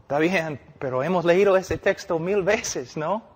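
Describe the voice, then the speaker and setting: A man's voice: fairly high pitch, unhurried. A man voicing a big, frightened dying backwoods rancher in a preacher's story, with blunt short statements, in a reverberant hall. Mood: doubtful, weary.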